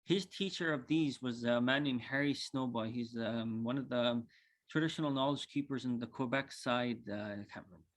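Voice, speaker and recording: A man's voice: mid-range voice, moderate pace. Easygoing young man, humble and chatty. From northern Saskatchewan, Canada. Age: 20s. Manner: easygoing and humble, with frequent ums and uhs. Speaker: cultural coordinator giving a teaching talk. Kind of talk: casual spoken presentation.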